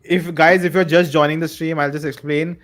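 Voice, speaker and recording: A man's voice: on the high side, flat delivery, moderate pace. A man hosting a live stream, upbeat and reading out chat votes. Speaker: stream host.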